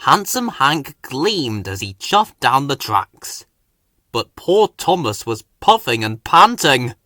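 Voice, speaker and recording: Very animated, unhurried male storybook reader. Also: mid-range voice, dry recording, studio quality. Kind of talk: scripted children's story narration.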